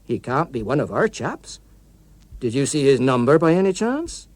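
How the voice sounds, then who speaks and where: A man's voice: medium pitch, big pitch swings, moderate pace, no room sound, close to an everyday voice. A man voicing a polite, apologetic police officer on station duty, courteous and matter-of-fact, in a dramatic audiobook. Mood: apologetic, businesslike.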